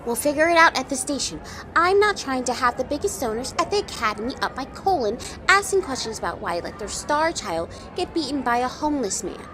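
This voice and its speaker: A woman's voice: sits high, very animated, a little fast, over music. A woman playing a curt, impatient, scolding town guard in an audio drama. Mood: exasperated. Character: gruff, bossy, impatient female town guard. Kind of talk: audio drama character acting.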